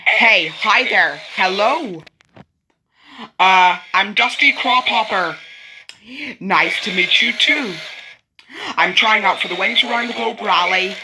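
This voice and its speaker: A man voicing a cocky, excitable young racing plane in animated toy clips, boastful and full of exclamations. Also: sits high, takes it slowly, long pauses, full character voice. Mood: excited, friendly. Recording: noisy playback from a toy speaker.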